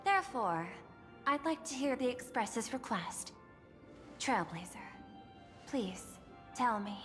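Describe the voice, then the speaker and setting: An actress playing a poised, guarded corporate executive in a video game, speaking formally and with measured deliberation. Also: female voice, a little high, expressive, fairly bright tone. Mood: solemn, resolute. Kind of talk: scripted game character dialogue. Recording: long reverb, music behind.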